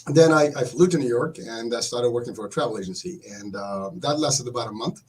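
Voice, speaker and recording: Guy in his 50s, talks quick, repeats himself, laughs a lot, mid pitch. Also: a little hiss or hum, low-quality recording. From Morocco. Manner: animated, repeats words, laughs often. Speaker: guest telling his life story. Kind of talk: casual storytelling chat.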